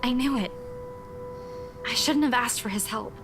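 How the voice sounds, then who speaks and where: An actress playing a headstrong, irritable, sharp-tongued woman in a visual novel game. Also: fairly high pitch, normal speed, soft background music. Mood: worried, regretful.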